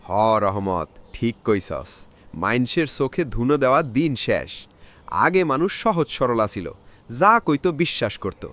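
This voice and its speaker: An actor playing a simple, agreeable older village uncle in a cartoon, folksy and worldly-wise, with a rural Bangladeshi Bengali accent. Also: male voice, medium pitch, very animated, a little slow, fairly bright tone. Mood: resigned, agreeable. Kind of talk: cartoon village drama dialogue. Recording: faint background noise with clicks.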